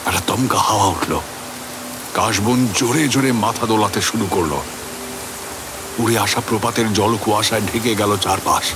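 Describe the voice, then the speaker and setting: An actor playing an older man recounting an eerie experience in a theatrical, reflective audio drama monologue. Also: on the low side. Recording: music behind the voice.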